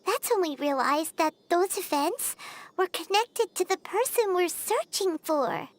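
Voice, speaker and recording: An actress playing a tiny, excitable, pushy fairy girl in an anime-style video game, with a squeaky childlike voice and expressive delivery. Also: unhurried. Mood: earnest.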